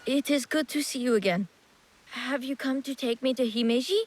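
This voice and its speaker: A polite young nobleman's son voiced by a woman speaks with a bright, high voice. Eager and earnest in every phrase. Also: moderate pace, slight background noise, lightly acted. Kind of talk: video game cutscene acting.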